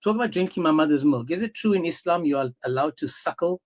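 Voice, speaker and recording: Guy hosting a debate stream, kinda high voice, taunting and pushy. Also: expressive, fast talker. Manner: mocking, confrontational, talks over others. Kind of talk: heated live-stream debate.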